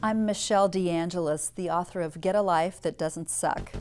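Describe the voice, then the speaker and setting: A woman's voice: fairly low pitch, moderate pace, darkish tone. Woman life coach, blunt and chatty, music playing under her. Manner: frank, emphatic and conversational. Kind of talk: interview answers.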